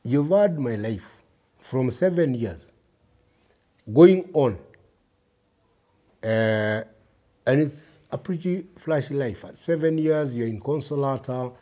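A man in his fifties with a Kenyan accent tells his personal story in a reflective, emphatic way, speaking directly to listeners with a husky voice. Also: medium pitch, animated, takes it slowly, pauses often, mellow. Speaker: interview guest. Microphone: lapel mic.

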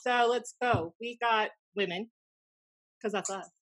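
A woman's voice: on the high side, a little slow, a bit bright. An American woman in her thirties chatting wryly as a podcast co-host into a desk microphone. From United States. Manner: snarky, wry. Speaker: podcast co-host, former wrestling announcer. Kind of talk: casual podcast banter. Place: small room indoors.

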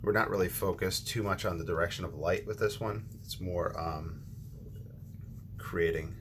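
A man's voice: low-pitched, normal speed, noisy background. A man hosting a watercolor painting tutorial, talking casually as he paints with frequent ums and restarts. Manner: relaxed, thinking aloud with ums and restarts.

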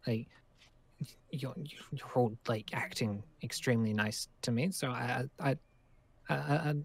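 A man's voice: mid-range voice, unhurried, creaky. A man improvising game roleplay in a hesitant, stammering way.

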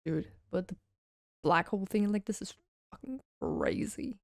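A woman's voice: on the low side, huge pitch swings, moderate pace, creaky, darkish tone. Gushing, sweary woman streamer over music. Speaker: vtuber streamer. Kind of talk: casual, excited reaction commentary. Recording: music behind the voice. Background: game trailer music.

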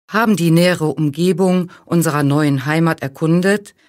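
A woman reading a scripted personal account for language learners in a plain, even way. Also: on the low side. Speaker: reader of listening texts.

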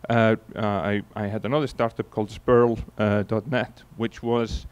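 A man's voice: on the low side, normal speed, clean tone. Icelandic guy doing a keynote on a stage, handheld mic, chatty storytelling with lots of uhs. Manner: relaxed storytelling with frequent fillers and restarts. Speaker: keynote speaker. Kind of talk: conference keynote talk. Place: large conference hall on stage. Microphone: handheld microphone.

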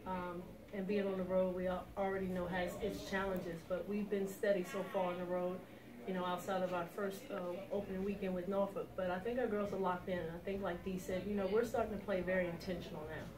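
Middle-aged woman coach, direct and confident, slightly low voice, caught from afar in an echoey room with crowd noise behind. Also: flat delivery, brisk. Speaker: head basketball coach. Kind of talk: post-game press interview. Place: small indoor office room with sofa. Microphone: far camera. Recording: roomy echo from a distant mic with crowd noise.

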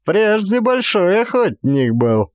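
A man voicing a jovial, chatty male visitor who banters in a familiar, animated way in an audiobook. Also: sits high, slow pace, dry recording, studio quality, voice pushed up, full character voice. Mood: teasing.

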